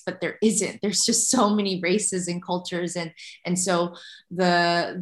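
A woman's voice: fairly low pitch, echoey room. A woman in her thirties, from Malaysia and raised in Canada, talking as a podcast guest in a chatty, open way with frequent restarts. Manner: chatty, warm laughs, many restarts. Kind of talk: conversational interview.

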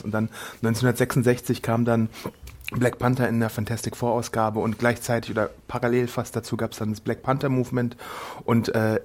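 A man co-hosting a casual film podcast, a little hoarse from a cold, recounting eagerly. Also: mid-range voice. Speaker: podcast co-host.

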